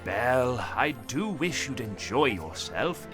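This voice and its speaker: A man's voice: medium pitch, sing-song, takes it slowly, fairly bright tone, soft background music. A man playing a jolly, bemused boss hosting a party in a comic radio play. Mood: bemused, coaxing.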